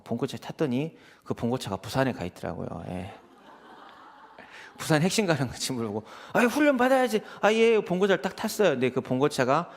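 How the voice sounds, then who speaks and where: A male pastor from preaches in a fast, chatty way. His pitch swings widely as he tells personal stories and voices both sides of little exchanges, and his tone often drops into a creaky, gravelly edge. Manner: animated storytelling, acts out quoted exchanges. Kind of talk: conversational sermon with personal anecdotes.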